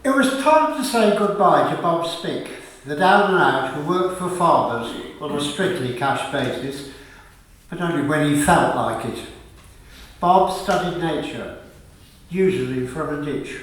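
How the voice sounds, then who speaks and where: A man's voice: fairly high pitch, expressive, a little slow, fairly bright tone. A man reading scripted narration for a radio play. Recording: very echoey room, far from mic.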